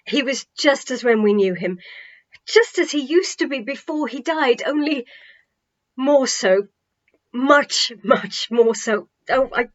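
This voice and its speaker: A woman's voice: high-pitched, lively intonation, pitched above the performer's own voice, exaggerated voice. Woman acting gushing, breathless, devout female disciple. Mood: overjoyed, tearful, then gushing, awed. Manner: breathless, gushing, halting delivery. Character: excitable, devout woman disciple, overjoyed, rambling witness. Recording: slightly dull home recording.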